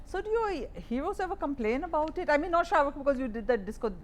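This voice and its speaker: Lively, highish woman interviewer. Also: fast, slight background noise. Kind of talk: conversational celebrity interview.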